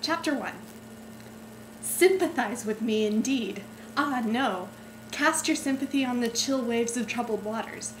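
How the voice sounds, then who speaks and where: High-voiced young woman reading aloud. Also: unhurried, a little hiss or hum, rough recording. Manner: giggly, stumbles over florid sentences. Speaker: amateur reader. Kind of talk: dramatic reading of an overwrought novel. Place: indoor room at home.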